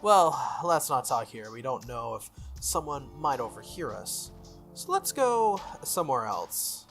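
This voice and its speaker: Smug visual novel schoolboy played by a man, breezy and needling, very animated pitch, music faintly behind. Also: unhurried, creaky, exaggerated voice. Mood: persuasive. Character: smug, falsely friendly teenage schoolboy schemer.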